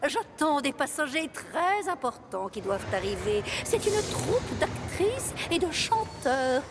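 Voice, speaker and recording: A woman playing a vain, fussy, excitable female railcar in a children's cartoon, haughty and theatrical. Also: sits high, measured pace, music in the background, exaggerated voice. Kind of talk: children's animated series dub.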